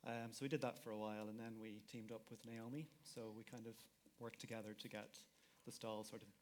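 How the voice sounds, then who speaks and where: A man's voice: fairly low pitch, little pitch movement, brisk, a little hiss or hum. A man speaking as a panelist at a live event, talking casually and hedging with "kind of". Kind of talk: panel discussion. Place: event hall with audience.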